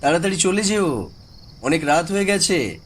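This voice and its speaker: A man's voice: on the high side, expressive, normal speed, slight background noise, pitched above the performer's own voice, natural voice. A man voicing a weary, regretful villager just out of prison in a horror cartoon, sighing and speaking respectfully.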